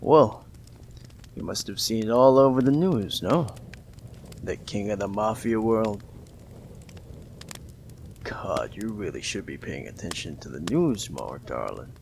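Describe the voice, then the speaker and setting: A man voicing a smug, possessive mafia boss in an audio roleplay, teasing and condescending, with a gravelly drawl and long pauses. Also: lively intonation, a little hiss or hum. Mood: smug explaining. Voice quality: low, gravelly, drawling delivery with drawn-out pauses. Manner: teasing, condescending, calls listener darling.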